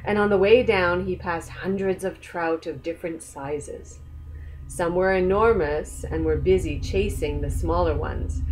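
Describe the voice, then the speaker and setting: A woman reads a children's story aloud at a very unhurried pace. Her voice sits slightly low, and her manner is gentle and inviting, as a storyteller speaking directly to young listeners. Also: a little hiss or hum, low-quality recording.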